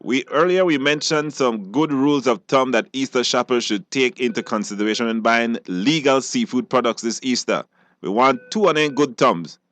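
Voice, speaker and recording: A man's voice: medium pitch, normal speed, a bit bright. Male radio host, live phone-in talk with mid-range voice. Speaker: radio call-in show host.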